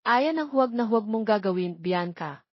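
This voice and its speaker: Woman as a defensive young boyfriend, husky. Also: medium pitch, animated, normal speed, dry recording, muffled recording, close to an everyday voice. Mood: cold, threatening. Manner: cold, defensive, threatening. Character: defensive, cold young man torn between two women.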